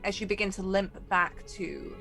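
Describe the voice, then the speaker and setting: A woman's voice: medium pitch, moderate pace, gravelly, over music. A woman running a tabletop game, narrating scenes off the cuff in a conversational, descriptive way. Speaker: game master.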